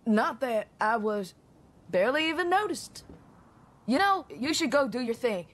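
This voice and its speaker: A woman's voice: a little high, measured pace, exaggerated voice. A woman playing a brash, playful young gunsmith in a video game, teasing and bossy in a slangy way. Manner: cheeky, slangy, animated. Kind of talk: video game cutscene acting. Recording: loud music underneath.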